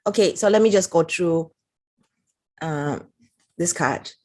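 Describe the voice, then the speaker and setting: Singaporean-accented woman, lower register, relaxed talky reviewer. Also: slow pace. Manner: chatty, reads passages aloud with little laughs. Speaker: product reviewer. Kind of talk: casual talk-to-camera review.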